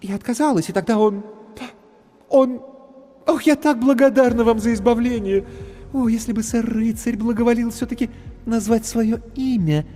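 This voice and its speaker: A man's voice: very high voice, normal speed, warm tone, pitched above the performer's own voice. A man voicing an effusive young woman clown who chatters theatrically and showers praise. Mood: gushing. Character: gushing young woman clown, breathless and flattering. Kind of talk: audiobook character voice. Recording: reverberant with background music.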